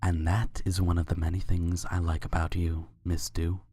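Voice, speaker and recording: Suave royal stallion for fantasy audio story , acted by a man: low, measured, formal, flattering. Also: lightly acted. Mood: suave, admiring. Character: suave, courtly winged unicorn Sultan, adult male pony. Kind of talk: fan fiction dramatic reading.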